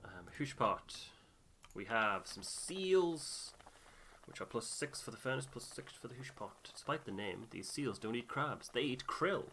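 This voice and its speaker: A man's voice: medium pitch, animated, a little slow, sharp tone, a little hiss or hum. A man giving casual gameplay commentary, thinking aloud and reading out on-screen text. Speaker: host of a game playthrough. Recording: music behind the voice. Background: game music with guitar.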